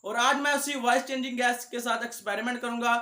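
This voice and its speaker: Young male vlogger, very high-pitched voice, addressing viewers in an echoey room. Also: steady tone, quick pace, pushed and bright. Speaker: video creator, host. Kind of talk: vlog talk to viewers.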